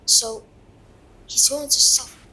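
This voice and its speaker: Woman as bright-voiced young wizard pupil. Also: slow, slight background noise, poor audio. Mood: puzzled, hesitant.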